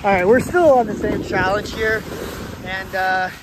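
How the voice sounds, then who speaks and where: A man's voice: sits high, measured pace. A young man vlogging in casual, slangy, joking chat and commentary outdoors. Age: young adult. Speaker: vlog host, skier. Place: outdoors on a ski hill. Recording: outdoor phone recording with noisy wind.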